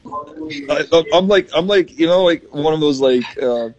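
A man with a raspy voice from getting sick, rambling with many fillers as a quiz contestant. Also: on the high side, a little slow, no echo, dull audio. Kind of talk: casual chat.

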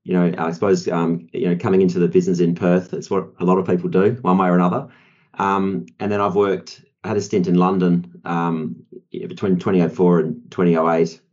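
A man's voice: low-pitched, level tone, fairly quick, darkish tone, highs cut off. A man in his forties with an Australian accent, a guest on a podcast, talking with many ums and you-knows. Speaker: law firm partner, guest. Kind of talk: podcast discussion.

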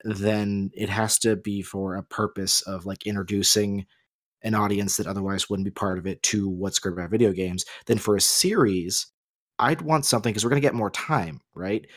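A man's voice: on the low side, dry recording, clean studio sound. A man co-hosting a casual, opinionated discussion, explaining his points at length in a rambling, emphatic way. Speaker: podcast co-host.

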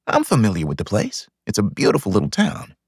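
A confident, flirtatious bachelor from a romance audiobook, played by a male narrator. He teases easily, with a husky, gravelly voice and lively swings of pitch. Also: brisk, no room sound, studio quality, exaggerated voice. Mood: friendly. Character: confident, flirtatious bachelor club owner, smooth and teasing.

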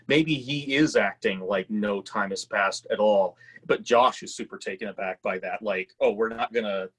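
A man giving casual workshop feedback, talking haltingly and acting out bits of example dialogue. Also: fairly low pitch, a bit bright, husky. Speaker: script reading participant giving feedback.

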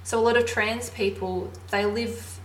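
A woman's voice: mid-range voice, fairly even intonation, a little slow, slight background noise. Slightly lispy Australian woman, chatty. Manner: chatty, giggly, thinking aloud. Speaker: podcast co-host. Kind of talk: casual podcast chat. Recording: roomy echo, faint ambience.

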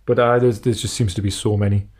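Low, mellow-voiced man chatting casually. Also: level tone, fast talker, husky. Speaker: podcast co-host. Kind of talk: casual chat about retro racing games, reading out listener emails.

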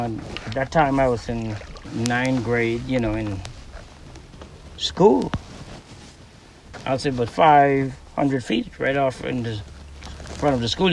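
A man from the Bahamas with a Bahamian accent, a fishing guide speaking in an interview, relaxed and rambling with lots of "you know.". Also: medium pitch, far from the mic, slight background noise, rough recording. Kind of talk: recorded interview on a boat. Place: outdoors on the water by boat.

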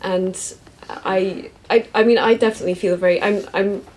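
A woman's voice: mid-range voice, steady tone, moderate pace, darkish tone, slight background noise, rough recording. A woman novelist with a Cork accent, talking hesitantly and self-deprecatingly at a live bookshop interview. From East Cork, Ireland. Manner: hesitant, self-deprecating, many restarts and laughs. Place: bookshop event.

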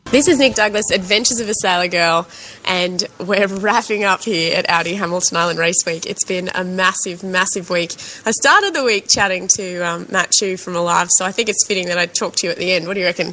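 An Australian woman hosting an outdoor regatta interview, chatty and quick to laugh. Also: on the low side, pushed and bright, low-quality recording. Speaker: host. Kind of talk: casual interview.

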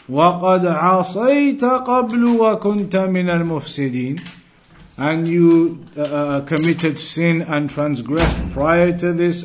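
High-pitched man teaching a religious lesson. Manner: deliberate, explanatory, repeats phrases for emphasis. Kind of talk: Islamic lesson, reading Arabic text and explaining it in English.

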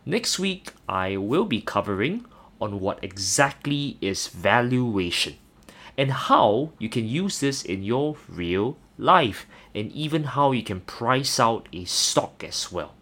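A young man with a Singaporean accent hosting a solo personal finance podcast, earnest and measured, with occasional hesitant fillers. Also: mid-range voice, sing-song, fairly bright tone. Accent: Singaporean English. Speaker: podcast host.

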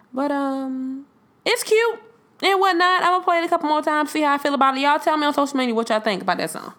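A woman's voice: fairly high pitch, a bit bright. A woman hosting her own podcast, talking casually and bluntly to her listeners, her voice congested and nasal from a cold. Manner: candid, blunt, swears freely, addresses listeners as y'all. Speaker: podcast host. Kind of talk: casual solo podcast monologue.

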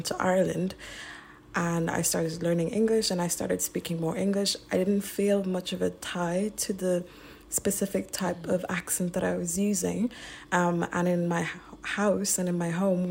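A woman's voice: fairly low pitch, gravelly, a little mellow, breathy edge. A woman guest on a podcast, speaking reflectively and a little hesitantly. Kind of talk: casual discussion.

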